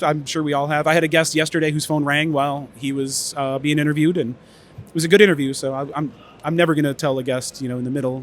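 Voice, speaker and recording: A man presenting at an audio trade expo in a casual conference-talk manner, voice a bit tired from many interviews, with lots of fillers and restarts. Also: a little high. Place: expo stage.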